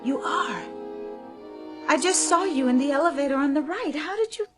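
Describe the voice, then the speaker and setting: High-voiced anxious woman shopper. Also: expressive. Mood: alarmed, wary. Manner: breathless, pleading, halting and repetitive. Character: frightened woman shopper trapped in a closed store. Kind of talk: radio drama acting. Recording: background music under the voice.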